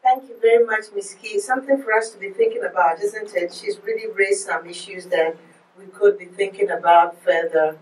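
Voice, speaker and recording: A woman's voice: mid-range voice, normal speed, background noise. A woman moderating a forum, encouraging the group. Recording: echoey room from far off.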